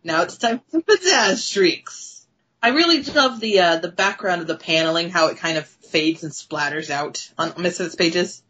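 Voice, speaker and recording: A woman's voice: lowish voice, moderate pace, fairly bright tone, husky. A woman co-hosting a casual comic book discussion, informal and appreciative, often acting out lines. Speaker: podcast host.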